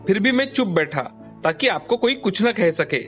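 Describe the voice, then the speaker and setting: A man's voice: high-pitched, expressive, moderate pace, a bit bright, faint music behind. A man playing an earnest, dutiful adult son who protects his wife in a cartoon moral story. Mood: firm, hurt.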